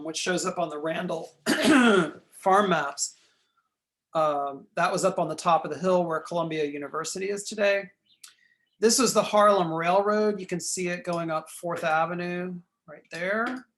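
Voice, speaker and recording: A man giving a recorded lecture while pointing out features on a map. He is losing his voice, keeps clearing his throat and apologizes for it. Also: high-pitched, a bit bright.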